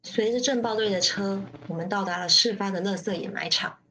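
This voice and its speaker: A woman's voice: mid-range voice, brisk, fairly bright tone, a little hiss or hum, lightly acted, rough recording. A woman playing a jaded riot-squad member who narrates a grim radio drama in the first person with detachment. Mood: matter-of-fact.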